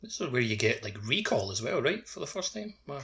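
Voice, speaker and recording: A man's voice: mid-range voice, sing-song, a little fast, gravelly, a crowd in the background. A man co-hosting a gaming podcast, chatting casually about a video game. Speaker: podcast co-host.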